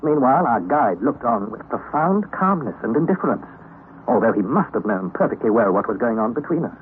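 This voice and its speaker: A man's voice: mid-range voice, big pitch swings, fairly quick, lightly acted. An actor playing a young man who narrates his exhausting underground expedition in an old radio drama, earnest and dramatic. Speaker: narrator and nephew. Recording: tinny old radio recording, faint hiss.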